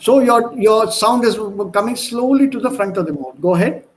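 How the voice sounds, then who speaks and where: Male language tutor, Indian accent, high-pitched voice, explains didactically and demonstrates by repetition. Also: expressive, moderate pace. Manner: didactic, repeats and demonstrates sounds. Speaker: language teacher. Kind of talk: online language lesson.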